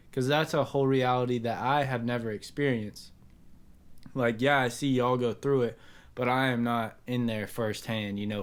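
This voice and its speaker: A young man hosting a casual podcast chat, relaxed and asking his guests questions. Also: mid-range voice, smooth. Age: young adult. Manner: easygoing, asks questions, laughs along. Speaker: podcast host.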